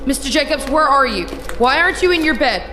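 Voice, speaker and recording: A woman's voice: on the high side, animated, moderate pace, over music, close to an everyday voice. A woman playing a weary, bitter psychiatric ward nurse with an emphatic manner in a horror audio drama. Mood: alarmed. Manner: exasperated, emphatic.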